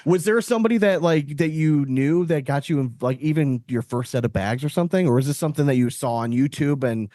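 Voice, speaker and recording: Fast-talking man, podcast host. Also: a little high, dry recording, studio quality. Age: 30s or older. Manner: animated, blunt, sweary. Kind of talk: casual chat.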